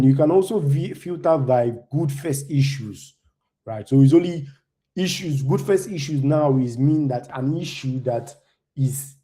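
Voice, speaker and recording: A young man from Nigeria, English not his first language, walks an audience through a live demo in a loose, chatty way. His voice is mellow and warm, sitting at a middling pitch. He keeps checking in with a quick "right?" after nearly every point. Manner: loose and conversational, tags "right?" often. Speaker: conference speaker.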